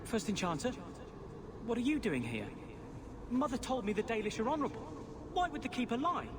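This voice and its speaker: Young mage for a fantasy game: high, animated, hesitant, earnest. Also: male voice, a little fast. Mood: bewildered. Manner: hesitant, flustered. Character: bewildered, earnest, trusting young male half-elf mage. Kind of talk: video game voice acting. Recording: noisy game ambience behind.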